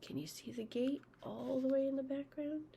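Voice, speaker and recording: A woman reading a children's picture book aloud as a storyteller, in an expressive manner aimed at young listeners. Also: high voice, moderate pace, vocal fry, slight background noise. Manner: expressive, acting out sound words, asking listeners questions.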